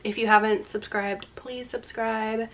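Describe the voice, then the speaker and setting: A woman's voice: fairly high pitch, little pitch movement, normal speed, recorded from a distance, a little hiss or hum. A young woman in her twenties with a Long Island accent, chatting casually to camera at home, rambling with lots of "like" fillers. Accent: Long Island American. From Long Island, USA. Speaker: vlogger. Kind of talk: casual vlog chat. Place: small indoor room, then home kitchen.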